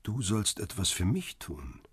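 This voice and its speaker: An actor playing a wry, stubborn photographer who narrates and speaks in a crime radio drama, with a dry, confrontational manner and a husky, rough voice. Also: male voice, deep voice, big pitch swings, unhurried, no room sound, heavily acted. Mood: guarded.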